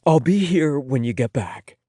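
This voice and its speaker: A man doing a soft, indulgent boyfriend. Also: medium pitch, animated, measured pace, no echo, studio quality, pitched above the performer's own voice. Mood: tender. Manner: soft, reassuring. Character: gentle, indulgent young boyfriend.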